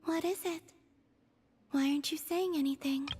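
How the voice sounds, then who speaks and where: A woman voicing a gentle, dreamy young moon maiden in a video game, with soft, breathy, near-whispered delivery. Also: high voice, steady tone, normal speed, lightly acted. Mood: warm, musing. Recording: music behind the voice.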